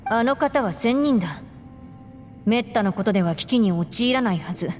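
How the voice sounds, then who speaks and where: An actress voicing a calm, composed young female aide in a game, speaking in a measured, serious way. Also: a little high, lightly acted. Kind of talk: game voice acting. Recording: roomy game audio with music.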